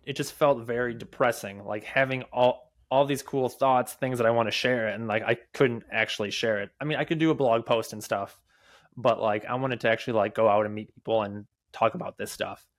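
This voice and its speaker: A man in his thirties who stutters, talking in a casual interview as a podcast guest, halting often with fillers and restarts. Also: medium pitch, steady tone. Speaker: podcast guest, app developer.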